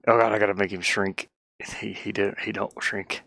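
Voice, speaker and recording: A man's voice: lowish voice, flat delivery, normal speed, pushed and bright, dry recording. A man giving casual think-aloud commentary as he works on a game, rambling and muttering to himself with repeated words. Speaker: game developer streaming his work.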